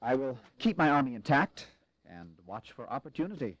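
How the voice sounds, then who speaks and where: Weary, resolute army commander. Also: male voice, medium pitch, sing-song, low-quality recording. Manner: measured, grave, formal. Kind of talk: historical audio drama acting.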